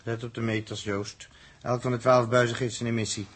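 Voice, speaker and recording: An actor with a Netherlands Dutch accent playing an excitable, fretful spaceship engineer in a 1960s radio drama, with a husky voice. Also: male voice, mid-range voice, a little fast. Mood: muttering, worried. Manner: swings from muttering to agitated outbursts.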